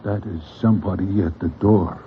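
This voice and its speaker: An actor playing a gruffly easygoing New barkeep in a 1940s radio drama. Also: male voice, sits low, steady tone, normal speed, close to an everyday voice. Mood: loyal, wary. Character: Good Time Charlie, gruffly easygoing New York barkeep. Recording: dull, noisy, clicking vintage radio recording.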